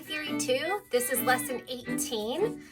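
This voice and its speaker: A woman's voice: sits high, lively intonation, a little slow. A woman teaching an online music theory lesson, a little stuffed up, patiently explaining and often checking in with "okay?".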